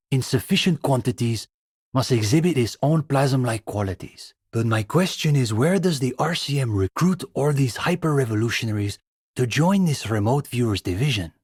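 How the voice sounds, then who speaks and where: A man's voice: medium pitch, animated, moderate pace, a little mellow, slightly rough, dry recording, clean studio sound. An actor playing an earnest, condescending young male student intellectual in a video game, speaking in an articulate, formal and wry manner. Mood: eager, intellectual. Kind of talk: scripted video game character dialogue.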